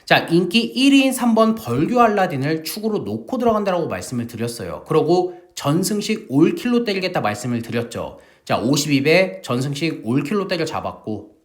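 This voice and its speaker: Lively, emphatic man tipping horse races. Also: a little high, a little fast, hardly any pauses. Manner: lively, emphatic salesman-like delivery, addresses viewers as family. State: recovering from a sore throat. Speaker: horse racing tipster host. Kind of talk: energetic betting-tips broadcast.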